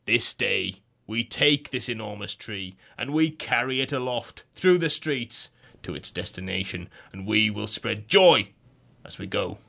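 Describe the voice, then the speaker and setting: Brief: man playing a pompous king figure, booming, rallying speech, slow and grand. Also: lively intonation, vocal fry, sharp tone, exaggerated voice. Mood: rousing. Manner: bellowing, rousing, theatrical. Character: hypnotised man as a bombastic king.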